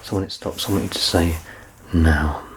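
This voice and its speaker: A man playing a doctor in an ASMR medical exam roleplay, soft-spoken and reassuring, with gentle teasing and close whispered passages. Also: low-pitched, steady tone, moderate pace, background noise. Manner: slips into unvoiced whispering up close.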